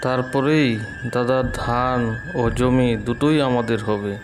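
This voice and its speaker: A man's voice: medium pitch, a little slow, noisy background, close to an everyday voice. A man playing a greedy, cowardly villager in a Bengali cartoon, with an exaggerated, pleading delivery. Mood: scheming. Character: greedy village man, scheming yet cowardly brother-in-law. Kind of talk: Bengali cartoon drama acting.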